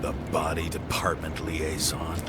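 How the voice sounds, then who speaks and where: An actor playing a worn-out, sardonic detective in a video game, with a dry, wry, insistent delivery. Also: male voice, monotone, measured pace, loud music behind the voice. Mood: probing, sardonic. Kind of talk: dramatic video game dialogue acting. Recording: very noisy game ambience, vehicle rumble.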